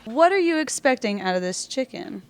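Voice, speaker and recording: A woman's voice: on the high side, big pitch swings, moderate pace. A woman interviewer asking casual, teasing questions. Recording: faint background room noise.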